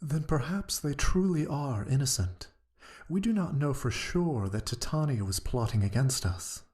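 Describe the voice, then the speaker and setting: A man playing a measured, fair-minded council member in a fantasy audio drama, formal and deliberate. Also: medium pitch, animated, darkish tone, lightly acted.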